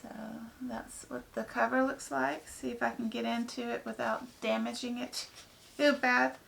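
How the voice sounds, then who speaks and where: A woman with a hoarse, raspy voice from a head cold, chatting casually through an unboxing with lots of ums. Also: on the high side, little pitch movement, normal speed, a bit bright, a little hiss or hum. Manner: chatty, rambling, many ums. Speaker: book vlogger.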